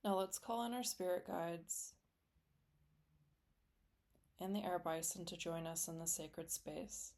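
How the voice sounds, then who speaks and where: A woman leading a guided meditation in a gentle, soothing, deliberate way, with long pauses between phrases. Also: on the low side. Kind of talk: guided meditation, scripted and slow.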